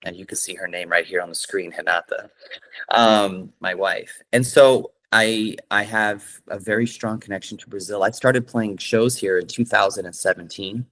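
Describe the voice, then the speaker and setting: A man's voice: on the low side, fairly even intonation, normal speed, fairly bright tone, low-quality recording. A man from California, a singer-songwriter answering interview questions in an earnest way, with many fillers and restarts.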